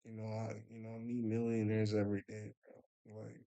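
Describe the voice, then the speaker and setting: A man's voice: fairly low pitch, completely flat delivery, fairly quick, gravelly, warm tone, clear, resonant tone. A young man telling a rambling story in a laid-back, casual way, filling it with "bro" and "you know" and often trailing off into a mumble. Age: young adult. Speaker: vlogger.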